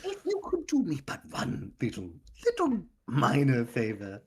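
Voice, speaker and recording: Brief: a player as ingratiating game-character showman, gravelly and husky, swooping pitch, effusively polite. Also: huge pitch swings, measured pace, full character voice. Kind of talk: tabletop roleplay in character.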